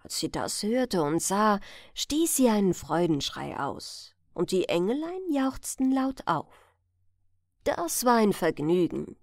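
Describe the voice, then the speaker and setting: Slow, expressive woman reading fairy tales. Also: medium pitch, no echo, clean studio sound. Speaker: audiobook reader. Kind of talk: expressive fairy tale narration.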